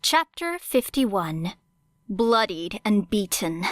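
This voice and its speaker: A woman reading scripted dramatic fiction as an audiobook narrator, measured and expressive. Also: medium pitch, dry recording.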